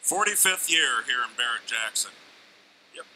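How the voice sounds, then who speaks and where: A man's voice: mid-range voice, expressive, normal speed, bright, forceful tone, slight background noise, rough recording. A man hosting a live auction broadcast, announcing the guests with enthusiasm. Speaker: auction show host. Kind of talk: live event commentary. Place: large indoor auction arena.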